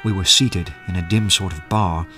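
A measured male narrator recalls his adventure in a radio play. His voice is deep and observant. Instrumental music plays clearly behind the narration. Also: fairly even intonation, pushed and bright. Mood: atmospheric, measured. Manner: measured storytelling. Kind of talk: scripted first-person narration in a radio play.

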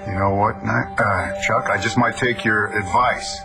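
Cast: middle-aged rancher, gruff and puzzled, low voice, music bed. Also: male voice, fairly even intonation, a little slow. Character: bewildered middle-aged rancher, time-displaced.

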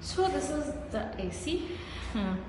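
High-voiced woman in an echoey gym. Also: very animated, a little slow, noisy background. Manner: simple repetitive pointing-out explanations. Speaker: vlogger. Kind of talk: casual gym tour vlog. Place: small indoor building gym. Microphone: phone held while filming. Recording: echoey room with hall reverb.

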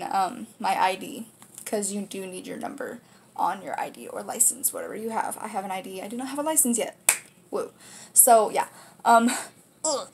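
A woman's voice: medium pitch, a little hiss or hum. A nineteen-year-old woman with an American accent, chatting casually to camera in an informal, rambling way as she hosts a video. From United States, Kansas. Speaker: video host. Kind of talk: casual vlog chat to camera. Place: small room indoors.

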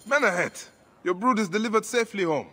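An actor playing a calm, steady male warrior protagonist in a video game who speaks firmly. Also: high voice, breathy edge, exaggerated voice. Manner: calm, firm, measured.

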